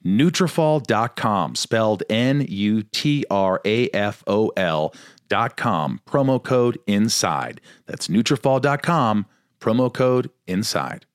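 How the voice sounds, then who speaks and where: A man with a fairly low voice reads a sponsor advertisement in a steady, promotional way. The sound is dry and close, with no room around it. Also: slow pace, no room sound. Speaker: podcast host reading an ad. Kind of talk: host-read advertisement.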